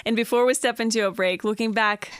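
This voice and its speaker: A woman hosting a podcast, asking questions and backing the guest with steady mm-hmms. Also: fairly high pitch, quick pace, smooth, no echo. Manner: warm, lots of mm-hmm backchannel. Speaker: podcast host, interviewer. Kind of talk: interview questions.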